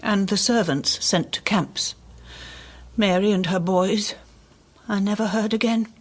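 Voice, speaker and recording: Husky, guarded older woman, played by an actress. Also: mid-range voice, a little slow, lightly acted. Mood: regretful. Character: haunted, guarded older English woman hiding past. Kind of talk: radio drama acting.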